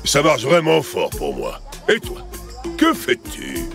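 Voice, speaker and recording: Gravelly, fairly high male voice, exaggerated, indignant, boastful townsman, children's cartoon. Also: huge pitch swings, takes it slowly, over music, full character voice. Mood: self-satisfied. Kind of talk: French children's cartoon dubbing.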